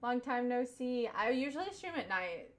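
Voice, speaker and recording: A young woman with a light Southern US accent talks casually on her live stream. Her voice sits a little high, and she keeps thanking viewers as she reads their comments aloud. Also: lively intonation, normal speed. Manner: chatty, thanks viewers over and over.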